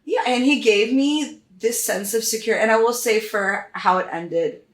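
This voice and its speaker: A woman's voice: fairly high pitch, measured pace. An American woman of about thirty chatting casually and telling personal stories in a rambling, sweary way. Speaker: podcast guest. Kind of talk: casual chat, personal storytelling. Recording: roomy, echoing sound.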